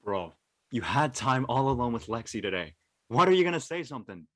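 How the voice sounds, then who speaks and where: A man's voice: medium pitch, big pitch swings, fairly quick, a bit bright, no room sound. Earnest teenage boy played by a man. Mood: teasing, urging. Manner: casual, slangy, hesitant. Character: earnest teenage high school senior boy, nervous. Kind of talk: naturalistic dialogue in a live-streamed audio play.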